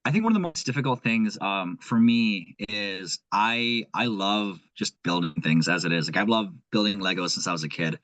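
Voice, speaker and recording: A young man with a Nepali accent, talking as a guest in an earnest, thinking-aloud way. His voice sits fairly low. The sound is close and dry. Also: a bit bright, dry recording, poor audio. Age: young adult. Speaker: guest, aspiring investor. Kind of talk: casual conversation.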